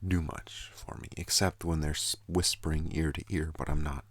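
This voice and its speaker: A young man hosting an ASMR channel, speaking softly and calmly close to a studio microphone, slipping into whispers. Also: no room sound. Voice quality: deep gravelly soft voice. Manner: slow, calm, partly whispered. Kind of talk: soft-spoken ASMR talk.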